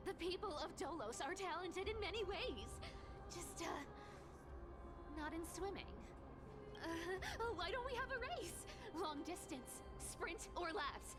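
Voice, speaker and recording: A woman's voice: very high voice, expressive, unhurried. A woman voice-acting a sly, greedy, cat-tailed demigod treasure hunter in a video game, teasing, theatrical and bossy. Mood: flustered, irritated. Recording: game music behind the voice.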